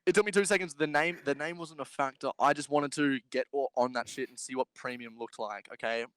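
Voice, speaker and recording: Crisp-voiced young man rambling casually. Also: mid-range voice, brisk, fairly bright tone, no echo. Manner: rambling, repeating himself. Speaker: podcast co-host. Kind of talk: casual joking group chat.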